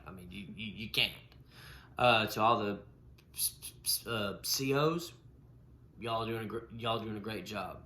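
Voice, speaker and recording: A man's voice: fairly low pitch, fairly even intonation, unhurried, bright, forceful tone. A man with a stutter, co-hosting a casual podcast, often repeating and breaking off sounds. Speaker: podcast co-host. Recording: roomy echo with faint noise.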